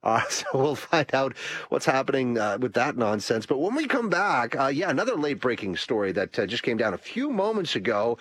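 A man's voice: mid-range voice, a little fast, breathy edge, no echo, studio quality. A man hosting a call-in radio talk show, chatty and full of "uh" fillers. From Canada. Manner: chatty, many uh fillers, lively delivery.

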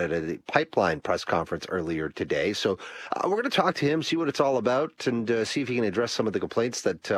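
A man's voice: fairly low pitch, slightly rough, no echo. A man hosting a call-in radio talk show, chatty and full of "uh" fillers. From Canada. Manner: chatty, many uh fillers, lively delivery.

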